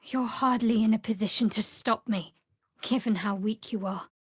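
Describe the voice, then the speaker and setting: Guarded dark cleric for a fantasy game: higher-pitched, wry and measured, close dry recording. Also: female voice, steady tone, breathy edge, no echo. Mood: cold, taunting. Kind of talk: fantasy video game voice acting.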